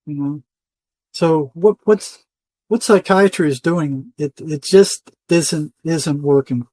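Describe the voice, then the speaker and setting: A man's voice: fairly high pitch, slow, a little mellow, no echo. An older man talking as an interview guest in an insistent, emphatic way, repeating phrases for stress. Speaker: interview guest, retired psychotherapist. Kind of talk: conversational interview monologue.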